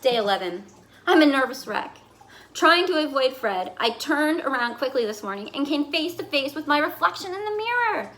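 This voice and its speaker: A woman reading a children's picture book aloud as a fussy, put-upon goldfish telling its diary, with dramatic, expressive delivery. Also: high-pitched, moderate pace. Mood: exasperated, fed up. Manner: dramatic, exasperated, expressive storytelling.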